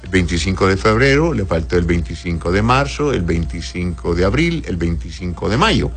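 Low deep guy doctor on an advice show, chatty and fatherly, music playing behind him. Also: expressive, moderate pace. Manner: avuncular, uses endearments and frequent checking tags. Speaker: doctor hosting a call-in advice show, answering listener messages. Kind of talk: conversational medical advice, reading messages aloud.

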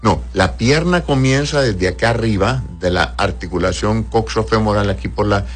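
Low-voiced guy doctor explaining health stuff slowly and patiently, keeps asking "right?", soft music behind. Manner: patient, explanatory, often adds a questioning "right?"; calls patients "my love". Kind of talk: reading out and answering health questions.

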